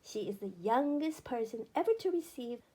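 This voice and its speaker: Husky, high-pitched woman reading a children's book aloud. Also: expressive, moderate pace, warm tone. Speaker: read-aloud narrator. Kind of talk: scripted children's book narration.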